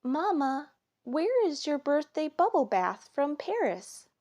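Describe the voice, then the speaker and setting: A woman's voice: high-pitched, big pitch swings, takes it slowly, darkish tone, pitched above the performer's own voice, exaggerated voice. A woman voicing an innocent, protesting young child in a children's storybook, eager and emphatic.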